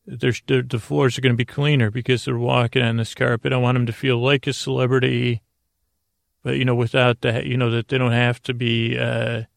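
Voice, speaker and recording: A man with a heavily gravelly, creaky voice delivers an improvised bedtime podcast monologue. His pitch stays fairly even as he meanders, stumbling and repeating words. The recording is dry and close. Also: dry recording. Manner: deliberately slowed-down delivery. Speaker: podcast host.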